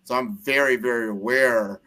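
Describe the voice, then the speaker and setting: A man's voice: medium pitch, steady tone, normal speed, pushed and bright. An American man hosting a casual interview, talking earnestly and rambling with frequent restarts. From United States.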